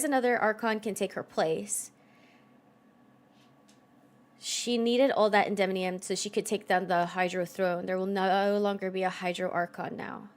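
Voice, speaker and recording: A woman giving casual, reactive game stream commentary in a blunt, sweary, incredulous way. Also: mid-range voice.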